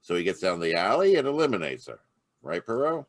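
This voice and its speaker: A man's voice: deep voice, big pitch swings, fairly quick. A man voicing a brash, plain-talking police inspector who speaks in a casual, slangy way in a live online radio drama. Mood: confident.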